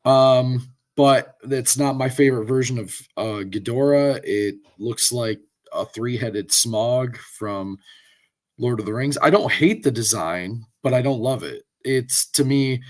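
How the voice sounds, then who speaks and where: A man with a hoarse voice from a cold, chatting casually as a podcast co-host and giving rambling, opinionated takes with lots of fillers. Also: mid-range voice, unhurried. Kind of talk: casual chat.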